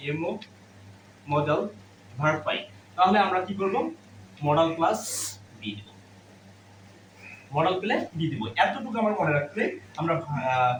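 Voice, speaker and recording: Guy teacher w high voice, echoey room, bit of noise, keeps asking questions then answering them himself. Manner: instructive, asks and answers own questions. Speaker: English grammar teacher. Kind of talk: classroom lesson, explaining step by step. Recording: roomy, distant mic, faint background noise.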